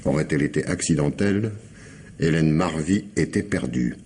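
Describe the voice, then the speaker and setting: Sardonic police investigator, deep pitch, shrewd, wry, colloquial, radio crime play, faintly noisy old recording. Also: male voice, animated, normal speed, darkish tone. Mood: dry, reassuring. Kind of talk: French radio crime drama acting.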